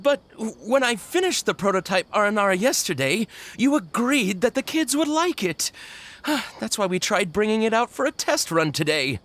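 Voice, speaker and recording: A man playing a flustered, anxious toy carver with a hesitant, halting manner in a video game. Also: high voice, sing-song, fairly bright tone. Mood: worried. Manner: hesitant, halting, dramatic sighing worry. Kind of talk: animated video game dialogue.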